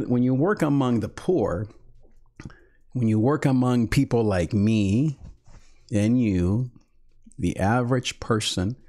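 A middle-aged man hosts a livestreamed talk as a pastor. He speaks in an animated way and sounds close to a studio mic in a small home office. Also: fairly low pitch. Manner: animated, gestures a lot with his hands while talking. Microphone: large studio mic on a boom arm, close.

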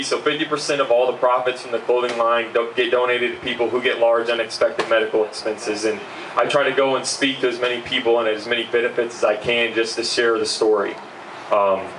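A young man, giving an earnest keynote speech from notes at an outdoor benefit, speaking into a handheld mic. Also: medium pitch. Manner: earnest, steady, fillers between points. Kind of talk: reading a prepared speech. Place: outdoor backyard party. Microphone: handheld mic, recorded by far camera. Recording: distant roomy outdoor sound, faint hum.

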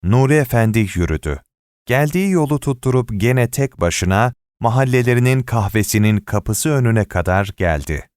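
A man reading a short story aloud as an audiobook narrator, in an expressive storytelling manner. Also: medium pitch, normal speed, clear, resonant tone, dry recording, clean studio sound. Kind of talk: scripted short story narration with acted dialogue.

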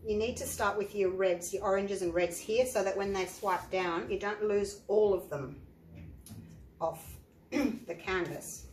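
An Australian woman, an art teacher, chatting step by step through a painting tutorial with a croaky voice from the flu. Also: medium pitch, unhurried, darkish tone. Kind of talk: tutorial narration. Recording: echoey room, faint noise.

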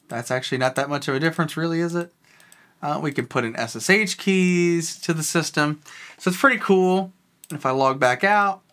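A tech video host giving a casual, rambling walkthrough of a software tool, thinking aloud while clicking. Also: mid-range voice.

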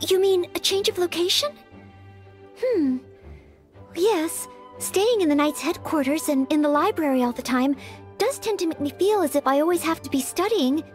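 Earnest, very high-pitched young maid. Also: female voice, lively intonation, moderate pace, soft background music. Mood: pondering. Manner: polite, hesitant, self-doubting. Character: earnest, anxious young maid aspiring to knighthood. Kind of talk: video game voice acting.

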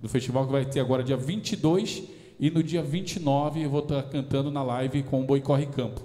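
A man's voice: medium pitch, normal speed. A man in his forties hosting a live TV variety show, speaking through a handheld mic in a studio with a band. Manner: energetic, cheers and chants along. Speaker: TV show host and toada singer. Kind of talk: lively TV variety chat with singing. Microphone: handheld microphone. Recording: reverberant studio with music behind.

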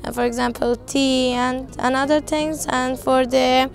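High-pitched teenage girl with Afghan accent. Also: fairly even intonation, creaky, faint music behind. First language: not English. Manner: halting, simple non-native English. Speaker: interviewee, volunteer translator. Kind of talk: informal interview answers. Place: outdoors on a roadside.

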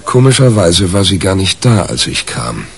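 A man's voice: low-pitched, big pitch swings, normal speed. An actor playing a wry, cheeky newspaperman who narrates a radio crime drama in a sardonic, colloquial way. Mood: wry, confiding. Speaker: narrator and main character.

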